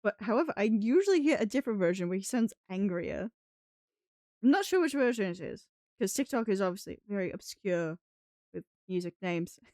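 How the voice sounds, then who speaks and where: A woman livestreamer talks to her viewers in a practiced, put-on British accent. She is chatty and rambling with a giggly streak, at a medium pitch. The sound is dry and close. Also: lively intonation, brisk, darkish tone, dry recording. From New England, United States. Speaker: live streamer. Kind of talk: casual livestream chat with viewers.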